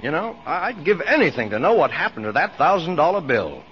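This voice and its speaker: Lively, breezy wealthy businessman. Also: on the high side, brisk. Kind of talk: 1940s radio acting. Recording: muffled, noisy vintage 1940s radio broadcast.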